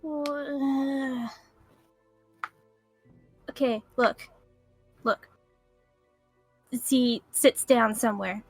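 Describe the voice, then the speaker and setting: A woman's voice: sits high, very slow, vocal fry, long pauses, no room sound, faint music behind. A woman playing in a tabletop role-playing game, chatty and playful, laughing often. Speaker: player.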